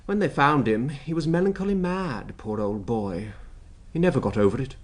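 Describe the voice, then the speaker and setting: A man's voice: mid-range voice, expressive, normal speed, natural voice. A man acting in a radio drama with a British accent, playing a talkative, wryly amused royal secretary with an expansive, emphatic, rhetorical manner. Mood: wry, reflective.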